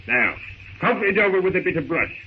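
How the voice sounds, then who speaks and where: A hard-bitten pirate leader from a vintage radio serial. He is gruff and domineering, with a slightly high voice. The old recording sounds dull. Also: sing-song, quick pace, a little hiss or hum. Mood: gruff, scheming. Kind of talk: 1930s radio serial acting.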